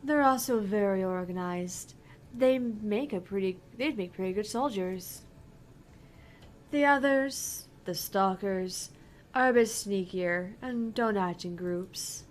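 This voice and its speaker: A breezy young pop idol from a video game, read aloud by a woman, earnest and a little theatrical. She speaks at an unhurried pace, over clear recording noise. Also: medium pitch, lightly acted. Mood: proud, matter-of-fact.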